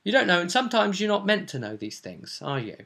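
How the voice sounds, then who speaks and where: A man hosting as game master, casually recounting a tabletop roleplay session in an animated storytelling way, often ending on tag questions. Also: high voice, fast talker, gravelly. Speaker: game master and show host.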